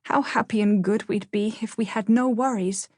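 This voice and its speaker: A woman's voice: medium pitch, normal speed, darkish tone, dry recording, clean studio sound, voice pushed up, natural voice. Woman as a proper, plaintive eldest sister. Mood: wistful. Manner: lecturing, plaintive. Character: proper teenage eldest sister, sweet but complaining.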